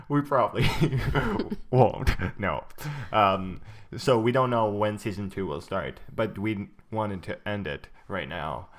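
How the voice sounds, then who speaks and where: A man's voice: on the low side, slow. A young man co-hosting a podcast in casual chat, rambling with lots of ums and uhs and frequent laughter. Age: young adult. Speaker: podcast co-host.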